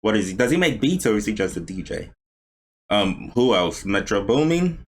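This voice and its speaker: A man hosts a reaction video and comments as he watches. He thinks aloud with curious questions and quips, and his pitch swings widely. There is faint noise behind him. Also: sing-song, normal speed.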